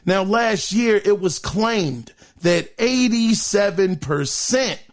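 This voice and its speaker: Hoarse high-voiced male podcast host. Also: expressive, takes it slowly. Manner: chatty, addresses listeners as guys, many fillers. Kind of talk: casual news commentary.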